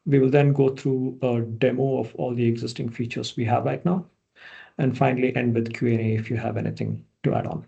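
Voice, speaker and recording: A man from India, a product manager, giving a relaxed online presentation over a video call, with many fillers and restarts. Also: medium pitch, a little fast, gravelly, slightly rough, highs cut off.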